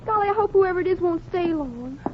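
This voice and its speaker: A woman's voice: extremely high pitch, expressive, fairly quick. A woman playing a starry-eyed, adoring American teenage fan in a 1940s radio comedy, eager and gushing. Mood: starstruck, eager. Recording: muffled, hissy 1940s radio recording.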